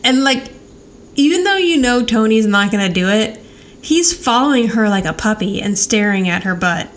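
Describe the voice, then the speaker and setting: A woman co-hosting a podcast, casually recapping a TV show in an animated, retelling way. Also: a little high, normal speed. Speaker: podcast co-host. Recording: clear background noise.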